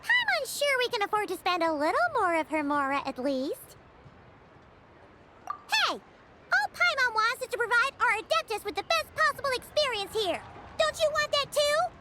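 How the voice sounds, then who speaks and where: A woman voicing a tiny, bubbly, childlike fairy companion in a video game, squeaky and exclamatory, speaking of herself in the third person. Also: sing-song, normal speed, heavy background noise. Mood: pleading, huffy. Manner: refers to self in third person, exclamatory, cutesy. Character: tiny excitable floating fairy companion, female, childlike, bubbly, food-loving.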